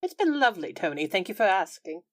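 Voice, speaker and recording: A woman's voice: medium pitch, big pitch swings, fast, no echo, studio quality. A woman casually improvising as a player in a tabletop role-playing game, moving between narration and dialogue.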